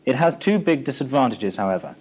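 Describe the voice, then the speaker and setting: A man's voice: medium pitch, lively intonation, brisk, a little mellow, husky. A British man narrating a military training film in a formal, matter-of-fact, scripted instructional manner. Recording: 1980s archive training film soundtrack.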